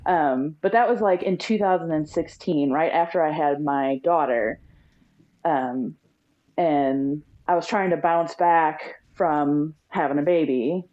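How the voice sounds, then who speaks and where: A woman's voice: deep voice, measured pace. A woman from the US Midwest, a guest on a podcast, chatting casually and laughing often. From Illinois, US Midwest. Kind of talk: casual interview. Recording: dull lo-fi audio.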